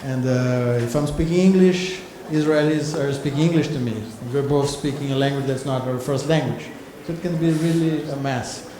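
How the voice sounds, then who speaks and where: A man from Brazil whose first language is Portuguese, speaking English in a relaxed way while searching for words. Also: medium pitch, moderate pace, few pauses. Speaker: workshop participant. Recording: echoey hall with background noise.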